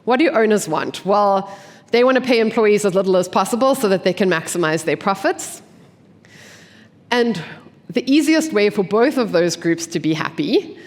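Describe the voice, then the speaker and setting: A woman from an investment team giving a conference talk. She sounds blocked up from a cold and explains clearly, often posing questions and then answering them. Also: mid-range voice. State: congested from a cold. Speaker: investment team member presenting at a forum. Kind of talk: conference presentation. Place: conference venue. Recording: long hall reverb.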